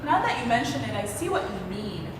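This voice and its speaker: A woman's voice: a little high, very animated, fairly quick. A woman acting in a stage play as an outspoken, suspicious, sarcastic grown daughter of a wealthy man. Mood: reluctant, dry. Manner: sarcastic, pointed. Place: theatre stage. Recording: echoey theatre hall, distant, noisy.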